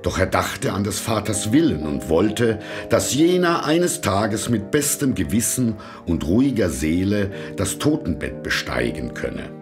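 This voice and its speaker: A man narrating a fairy tale from a script in a measured, expressive storytelling manner. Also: lowish voice, music in the background.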